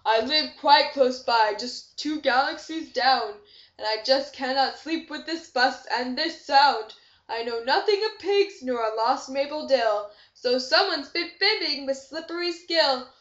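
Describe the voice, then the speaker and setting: Woman acting a huge grumpy alien monster, loud roar. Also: sits high, expressive, a little slow. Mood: roaring, annoyed. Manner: roaring, threatening, indignant. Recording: dull echoey amateur room recording.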